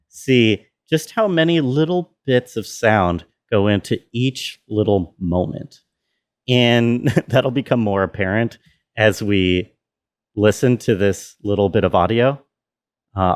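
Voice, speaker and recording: A man talks through his sound design work in a casual, easygoing way. His voice sits a little low, and he takes his time, speaking very slowly and informally. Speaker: sound designer of an audio drama. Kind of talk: casual behind-the-scenes walkthrough. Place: indoor room with air conditioner running. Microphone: self-recording.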